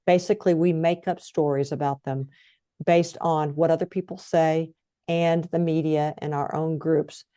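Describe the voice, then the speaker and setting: A woman's voice: sits low, little pitch movement, moderate pace, warm tone, dry recording. A woman co-hosting a podcast, talking and asking questions in a conversational interview style. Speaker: co-host, interviewer.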